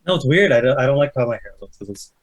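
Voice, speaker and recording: A man's voice: mid-range voice, expressive, very fast, crowd noise. A man chatting casually, self-deprecating and full of swearing. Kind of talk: casual chat.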